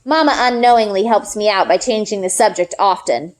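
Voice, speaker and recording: A woman narrator giving an expressive read-aloud of a children's novel in the first person. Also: medium pitch, fairly quick.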